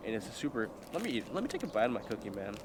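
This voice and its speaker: A man's voice: lowish voice, sing-song, rapid-fire, background noise. A young man with a stuffy, nasal voice from a cold, casually telling a story in a vlog, full of fillers and slang. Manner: rambling, filler-heavy, slangy. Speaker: vlogger. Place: indoors, eating while talking.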